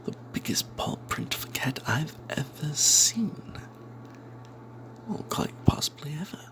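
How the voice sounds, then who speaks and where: A man tells a tabletop fantasy adventure as an in-character narrator, in a breathy, hushed, secretive ASMR whisper with dramatic pauses. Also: on the high side, sing-song. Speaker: narrator telling the tale in character as a cleric.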